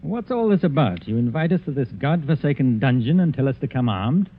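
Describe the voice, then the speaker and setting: An actor playing a wry, uneasy gentleman who narrates a 1940s radio mystery in a formal, dry manner. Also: mid-range voice, big pitch swings, brisk. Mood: uneasy, puzzled. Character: Arthur Grange, wry uneasy gentleman narrator, old friend. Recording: tinny, noisy vintage 1940s radio recording.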